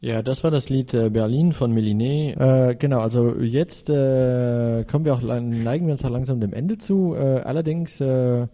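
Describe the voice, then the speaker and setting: Mellow-voiced male radio host. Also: mid-range voice, normal speed, hardly any pauses. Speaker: radio interviewer. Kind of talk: radio interview and presenting.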